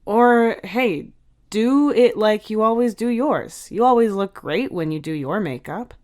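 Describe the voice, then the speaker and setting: A woman's voice: medium pitch, measured pace, darkish tone, pitched above the performer's own voice, natural voice. A woman voicing a shy, sulky boy in a storybook reading, hesitant and defensive in manner. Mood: shy curiosity. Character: shy, sulky boy, secretly curious and easily embarrassed.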